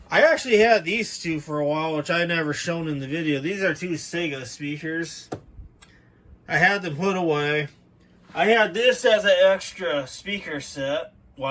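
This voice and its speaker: Man, slightly high voice, casual vlog narration, chatty hobbyist walk-through, handheld camera indoors. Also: fairly bright tone. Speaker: hobbyist video maker. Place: indoors in a room at home.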